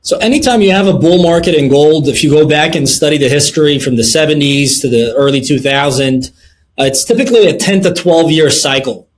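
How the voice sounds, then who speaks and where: Measured man, fillers between phrases. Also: fairly high pitch, a little hiss or hum. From Lebanon. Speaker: guest, precious metals dealer. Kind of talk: interview answers.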